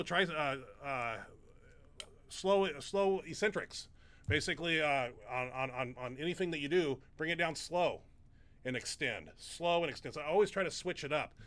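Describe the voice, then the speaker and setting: A middle-aged man hosts a relaxed Q&A, talking in long rambling runs full of fillers and restarts. His voice is bright and slightly high, and his nose sounds stuffed up. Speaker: show host and powerlifter. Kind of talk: casual live Q&A chat.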